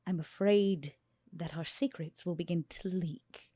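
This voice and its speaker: A woman plays a haughty character in a tabletop game with a slow, deliberate delivery. Her voice is low-set and husky, recorded dry and close. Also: mellow, dry recording. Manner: haughty, measured. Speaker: player. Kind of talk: in-character roleplay.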